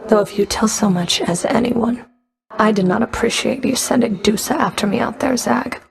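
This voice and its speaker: An actress playing a stern, sardonic underworld Fury overseer in a video game, curt and commanding, with a gravelly, creaky voice. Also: female voice, on the high side, normal speed, low-quality recording. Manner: curt, dry, commanding, grudging.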